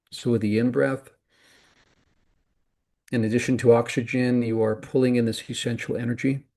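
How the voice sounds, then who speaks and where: A man guiding a meditation as a teacher, speaking calmly and deliberately in short phrases with pauses between them. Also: mid-range voice, gravelly. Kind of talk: guided meditation instruction.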